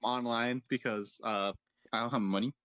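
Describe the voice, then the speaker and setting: A man's voice: medium pitch, fairly even intonation, normal speed, fairly bright tone, clear, resonant tone, no room sound. Casually sweary man, dry sound. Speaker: co-host of a reaction stream. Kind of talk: casual chat, reacting to videos.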